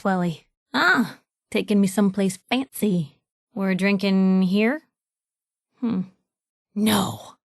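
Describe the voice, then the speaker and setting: A woman voicing a sharp-witted, idealistic young female reporter companion in a video game, wry and conversational. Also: a little high, no echo, clean studio sound, heavily acted.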